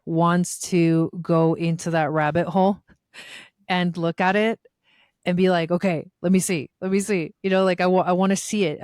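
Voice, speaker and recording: A woman's voice: on the low side, darkish tone, smooth, no room sound, clean studio sound. A woman from Argentina, a guest on a podcast, talking in an enthusiastic, chatty way with frequent fillers and restarts. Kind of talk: casual conversational interview.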